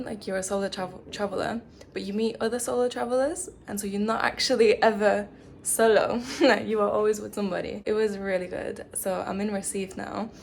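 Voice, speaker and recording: A young woman from England with an English accent, chatting casually to camera as a travel vlogger, full of "like" and "um" and quick laughs. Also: medium pitch, vocal fry, a little hiss or hum. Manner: chatty, lots of "like" and "um", laughs often. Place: studio flat.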